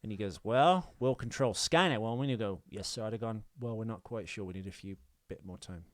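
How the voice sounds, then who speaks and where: A man's voice: on the low side, brisk, no echo, clean studio sound. A British man co-hosting a film podcast, explaining things in an informal, jokey, conversational way. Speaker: podcast co-host. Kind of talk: casual film commentary chat.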